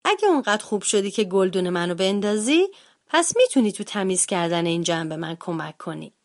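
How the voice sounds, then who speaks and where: A woman voicing a mother bear in a children's story, speaking calmly and firmly. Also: medium pitch. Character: mother bear, patient and firm.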